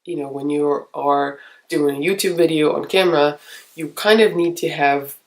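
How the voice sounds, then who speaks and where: A young woman with a stuffy, nasal voice from a cold, chatting casually to camera as a vlogger, rambling with many ums and soft laughs. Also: low voice, moderate pace, rough recording. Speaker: makeup vlogger.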